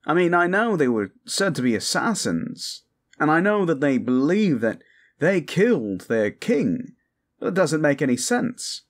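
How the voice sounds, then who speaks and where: Man playing a lively, casual, questioning sidekick. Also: fairly high pitch, measured pace. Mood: puzzled. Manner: informal, questioning. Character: casual, puzzled, down-to-earth male sidekick. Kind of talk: fantasy audio roleplay, improvised.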